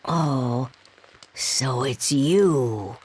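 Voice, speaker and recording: A woman's voice: very deep voice, extremely slow, a little mellow, breathy edge, voice pushed down, heavily acted. A woman doing a weary old barkeeper cooing in a drawl. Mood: doting.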